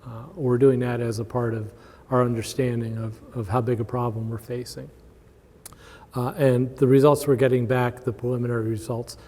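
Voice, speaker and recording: A man gives an informal conference presentation in a relaxed, mellow voice. He speaks at a middling pitch, and the hall adds a long reverberant tail to his words. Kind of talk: informal conference talk with audience questions. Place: large indoor conference room with long reverb.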